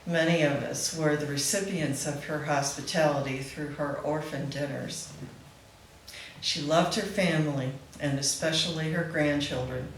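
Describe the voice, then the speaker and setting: A woman's voice: low voice, steady tone, unhurried. A woman reading a prepared eulogy aloud at a memorial celebration. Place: indoor event room with a live gathering. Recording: roomy echoing hall, faint background noise.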